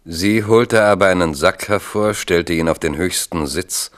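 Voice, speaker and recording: A man narrating a 1970s radio drama in a measured, formal storytelling manner. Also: sits low.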